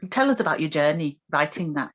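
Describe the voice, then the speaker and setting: A woman's voice: deep voice, animated, fairly quick. A woman hosting a radio discussion, putting questions to her guests in an interview style. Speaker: radio host.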